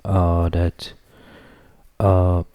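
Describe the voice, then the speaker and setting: A low-voiced man whose first language is Farsi talks through his thoughts on a casual podcast. His tone is dark and mellow, and he often stops with an "uh" to gather himself. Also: little pitch movement, clean tone. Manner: reflective, thinks aloud with many "uh" pauses. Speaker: podcast co-host.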